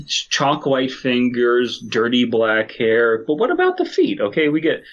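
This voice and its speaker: A man's voice: medium pitch, big pitch swings, slow pace, dull audio. A man co-hosting a podcast, riffing on amateur stories in casual, mock-serious comedic banter. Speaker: podcast co-host.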